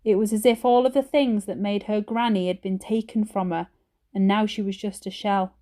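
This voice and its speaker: A woman's voice: medium pitch, steady tone, moderate pace, warm tone. A woman narrating a children's storybook expressively, like a teacher reading aloud.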